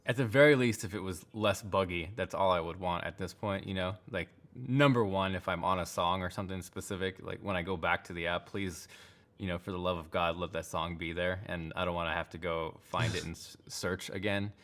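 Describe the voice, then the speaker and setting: A man's voice: sits low, steady tone, a little fast, a bit bright. A man appearing as a podcast guest, chatting in a laid-back, slangy, casual manner. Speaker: podcast guest, tech YouTuber. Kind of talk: casual chat.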